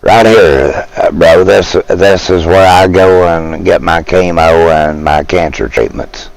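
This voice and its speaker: A man from Virginia, chatting casually with live viewers, rambling and halting as he searches for words. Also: low voice, vocal fry, no echo, low-quality recording. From West Virginia. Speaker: streamer.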